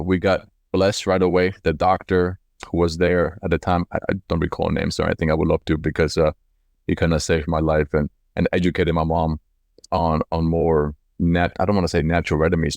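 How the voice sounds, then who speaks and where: A man from Colombia in his forties speaks in a deep voice, with very little room sound. He tells personal stories in a casual, reflective interview style, sometimes repeating words as he finds the next thought. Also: a little mellow, no room sound, studio quality. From Cali, Colombia. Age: 40s. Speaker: company CEO being interviewed. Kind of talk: casual autobiographical storytelling in an interview.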